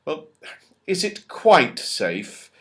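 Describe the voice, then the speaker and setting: Storybook boy, practical and earnest, put on by a male reader, raised pitch, unhurried. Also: big pitch swings, a bit bright, exaggerated voice. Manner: practical, a little cross. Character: brisk sensible young schoolboy.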